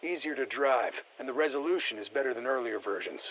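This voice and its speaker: A man's voice: mid-range voice, expressive, fast, a bit bright, dull audio. An actor playing a confident, folksy male soldier in a video game, giving colloquial, clipped tactical briefings through a radio filter. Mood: steady, matter-of-fact. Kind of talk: scripted game voicelines, mission briefing.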